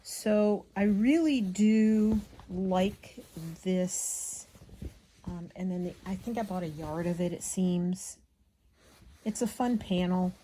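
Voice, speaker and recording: Slow mellow lady rambling about while crafting, bit gravelly, talks to herself, kinda scatterbrained. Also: medium pitch, a little hiss or hum, poor audio. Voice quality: slightly gravelly from a frog in the throat. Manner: chatty, absent-minded, self-interrupting, mutters to self. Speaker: craft vlogger. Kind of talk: casual rambling chat while hand stitching.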